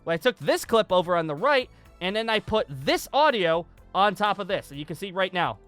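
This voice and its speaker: A young man giving a casual, enthusiastic video tutorial, excitable and emphatic, often repeating himself. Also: high-pitched, a little fast, faint music behind. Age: young adult.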